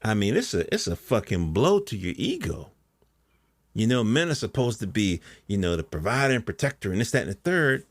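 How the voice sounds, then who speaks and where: A man's voice: fairly low pitch, very animated, brisk, no echo. A middle-aged American man hosting a podcast, talking casually in a rambling, colloquial monologue and often checking in with the listener. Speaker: podcast host.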